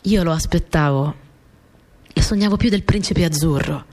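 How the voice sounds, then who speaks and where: A woman's voice: lowish voice, moderate pace, a little mellow, close to an everyday voice. An actress playing an earnest, dreamy young Italian partisan courier who recalls her wartime girlhood in an intimate, simply told radio monologue. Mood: wistful, dreamy. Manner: simple, conversational, reminiscent storytelling with short phrases. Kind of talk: radio theatre monologue, intimate first-person storytelling.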